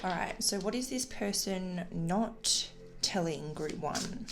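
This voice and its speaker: Woman, gravelly fry, casual tarot reading, reflective and tentative phrasing, clear music behind her. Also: fairly low pitch, lively intonation, takes it slowly. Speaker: tarot reader and channel host.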